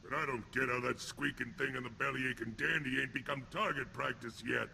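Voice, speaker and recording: A sneering alien thug from a video game, with a heavily growling, rasping voice and a sharp, hard edge. He talks in blunt, crude street slang like a hardened enforcer fresh out of prison. Faint noise sits behind him. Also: mid-range voice, fairly even intonation, brisk, full character voice. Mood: taunting. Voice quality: gravelly, rough. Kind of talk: video game character acting.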